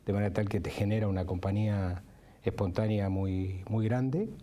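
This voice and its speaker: A man speaks reflectively in a low, mellow voice. He circles his phrases hesitantly, as in an interview answer. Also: steady tone, normal speed.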